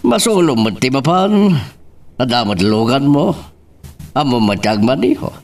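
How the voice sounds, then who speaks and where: A man's voice: medium pitch, very animated, measured pace, darkish tone. A man playing a practical, firm father in an Ilocano drama, with an Ilocano accent. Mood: firm, placating. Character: father, practical, siding firmly with business. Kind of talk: Ilocano radio-style serial drama acting.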